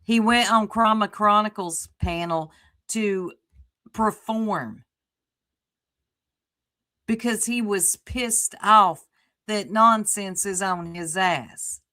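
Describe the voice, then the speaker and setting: A woman's voice: mid-range voice, slow pace, long pauses, bright, forceful tone. A woman co-hosting a livestream, blunt and sarcastic as she comments and reads chat aloud. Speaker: livestream co-host.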